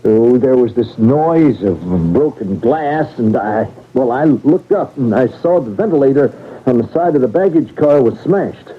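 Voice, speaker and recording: An actor playing a frightened baggage master with a husky voice, stammering and agitated, in old-time radio drama style. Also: male voice, mid-range voice, lively intonation, unhurried. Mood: nervous, flustered. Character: frightened, flustered male baggage master on a train. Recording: tinny old radio recording.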